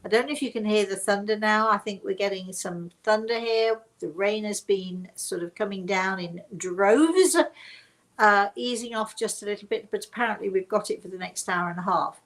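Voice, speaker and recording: A woman's voice: medium pitch, steady tone, normal speed. An older woman with a British accent, hosting a casual, chatty online talk and rambling from one story to the next. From Britain. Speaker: host. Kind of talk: casual chat to online viewers.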